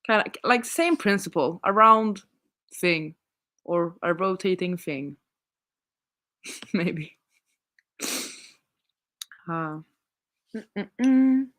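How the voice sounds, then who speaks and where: A woman's voice: lowish voice, expressive, extremely slow, long pauses, a bit bright. Chatty Swedish woman streamer. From Sweden. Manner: chatty, rambling, laughs often, many restarts. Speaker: livestream host reading viewer chat. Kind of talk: casual livestream chat.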